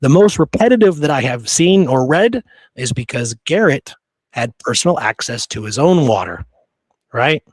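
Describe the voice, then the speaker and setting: A man's voice: mid-range voice, expressive, normal speed, no room sound. A man hosting a history show, telling stories conversationally from his research notes with casual, amused asides.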